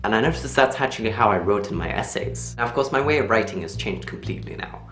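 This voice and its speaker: A young man chatting casually in a personal vlog, telling things in an animated way. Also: fairly low pitch, fast talker. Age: young adult. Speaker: vlogger. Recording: roomy echo, music behind.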